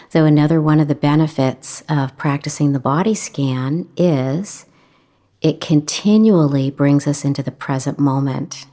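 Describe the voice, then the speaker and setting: Slow, low-voiced woman guiding meditation. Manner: measured, gentle, with long pauses. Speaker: meditation instructor. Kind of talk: guided meditation, calm instruction.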